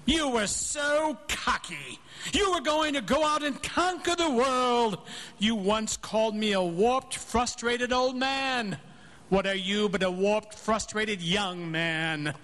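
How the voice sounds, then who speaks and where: A man's voice: very high voice, bright, forceful tone, exaggerated voice. An actor in a live radio drama playing a cruel, gloating, vengeful rich old man with scornful, theatrical emphasis. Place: theater stage, live broadcast. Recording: reverberant stage hall with background noise.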